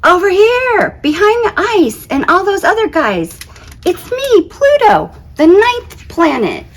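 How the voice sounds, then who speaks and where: A woman plays a little storybook planet, talkative and easily affronted. The delivery is exclamatory and self-conscious, with pitch that leaps about in a very animated way. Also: takes it slowly, exaggerated voice. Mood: excited, proud. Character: Pluto, small excitable, indignant, talkative dwarf planet. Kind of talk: children's picture-book read-aloud.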